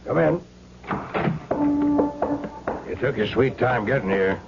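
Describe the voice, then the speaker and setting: An actor playing a bossy, impatient gangland boss in an old radio drama, curt and domineering. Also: male voice, medium pitch, expressive, slow pace, vocal fry, music in the background. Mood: irritated. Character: gruff, impatient male crime boss, bossy and suspicious. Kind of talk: old-time radio crime drama acting. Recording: muffled, noisy old radio drama recording.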